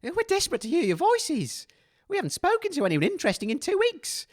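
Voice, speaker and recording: A man hosts a comedy radio show in a nervous, flustered manner. His pitch leaps about wildly and he often stammers over his words. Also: wildly swooping pitch, quick pace, no room sound, clean studio sound. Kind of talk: comedy radio hosting in character.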